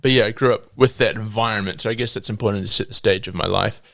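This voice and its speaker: Low-voiced hesitant young New Zealand man. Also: fairly even intonation, quick pace, creaky, a bit bright, dry recording, clean studio sound. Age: 20s. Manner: hesitant, with frequent ums and laughs. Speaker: podcast guest. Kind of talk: sharing a personal faith testimony.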